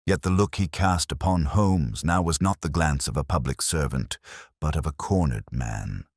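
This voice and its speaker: A man narrating a scripted audiobook story in a measured, literary and dramatic manner. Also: very deep voice, slightly rough, no room sound, clean studio sound.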